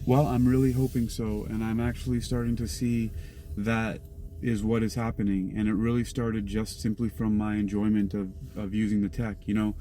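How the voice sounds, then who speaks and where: A man's voice: little pitch movement, moderate pace, dark tone, soft background music. A man speaking casually as an interview guest about his own creative work. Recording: noisy vehicle-like background rumble.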